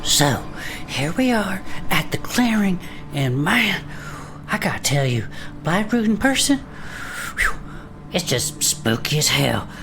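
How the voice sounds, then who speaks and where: A folksy, reassuring adventurer, played by a woman leads an audio drama investigation. The voice sits low and swings in a lively way, with clear ambient noise behind it. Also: slow pace, pauses often, full character voice. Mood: nervous, folksy. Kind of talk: audio drama acting as field interviewer.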